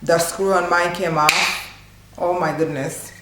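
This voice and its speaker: A woman's voice: on the low side, fairly even intonation, a little slow. A woman in her thirties hosting a casual unboxing vlog at home, chatty and informal, exclaiming with delight. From Haitian background. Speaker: cooking channel host. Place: small living room at home, indoors. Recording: echoey room, clear background noise.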